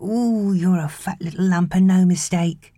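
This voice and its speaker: A woman's voice: on the low side, a little slow, dark tone, no room sound, studio quality, pitched above the performer's own voice. A woman voicing a cheery, playful young British woman in an audiobook, cooing chattily to a baby. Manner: cooing, chirpy, colloquial British.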